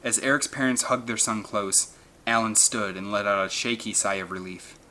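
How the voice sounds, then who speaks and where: A man's voice: on the low side, fairly even intonation, moderate pace, bright, forceful tone. A man reading an adventure novel aloud as dramatic scripted narration. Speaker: audiobook narrator.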